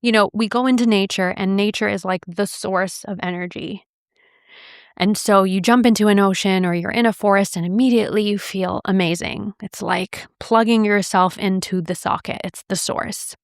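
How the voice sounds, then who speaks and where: A woman's voice: medium pitch, steady tone, normal speed, dry recording, studio quality. A young adult woman hosting a podcast, telling a personal story in an earnest, reflective way with light laughs. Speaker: podcast host.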